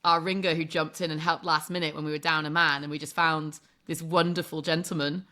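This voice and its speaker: A woman's voice: deep voice, little pitch movement, fairly quick, pushed and bright. A woman in her thirties from England, a sports broadcast journalist chatting casually as a podcast guest, self-deprecating and laughing often, with lots of "like" fillers.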